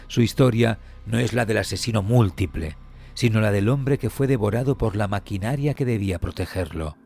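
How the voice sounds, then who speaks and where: Guy w a lowish voice doing serious true crime narration, measured n dramatic, soft music behind. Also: creaky. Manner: solemn, measured, dramatic delivery with weighty pauses. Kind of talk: scripted documentary narration. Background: background music.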